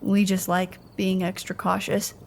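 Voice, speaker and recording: A guarded traveler who is polite and careful with strangers. Her voice is mid-pitched with a crackly fry, and her delivery stays understated, as in a naturalistic audio drama. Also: monotone, a little slow. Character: cautious, guarded, polite young traveler avoiding questions.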